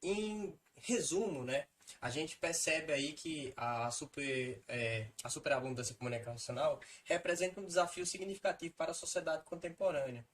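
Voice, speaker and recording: A Brazilian man giving an academic presentation in formal wording, with hesitant pauses as he moves through his points. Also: a little high, a bit bright. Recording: faint background noise.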